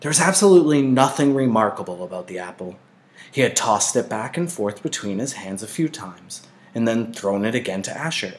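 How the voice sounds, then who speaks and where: A man reading a novel aloud like a teacher to a class, with deliberate, dramatic phrasing. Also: medium pitch. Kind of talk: expressive audiobook-style read-aloud narration.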